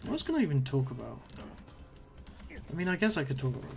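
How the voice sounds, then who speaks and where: A man's voice: mid-range voice, very animated, fast talker, creaky, soft background music. A man streaming a video game, chatting casually and rambling with many fillers and trailing-off sentences, his voice a little strained from talking so long. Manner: hesitant, filler-heavy, trails off mid-sentence. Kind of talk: casual rambling chat while gaming. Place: home room at a computer.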